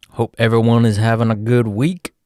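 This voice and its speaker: A man with a Texan accent hosting a livestream, giving casual, folksy chart commentary and often calling out to "ladies and gentlemen". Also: mid-range voice, no room sound, studio quality. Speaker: livestream host, market analyst.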